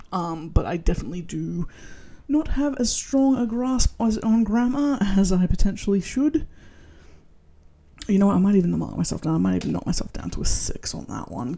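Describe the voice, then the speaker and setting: An Australian woman with a low, gravelly voice chats casually as she scores her own story. Her tone is dark and husky, and her pitch swings widely as she talks through her thoughts. She sounds self-deprecating and chatty. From Tasmania, Australia. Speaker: vlogger. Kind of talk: casual self-rating chat.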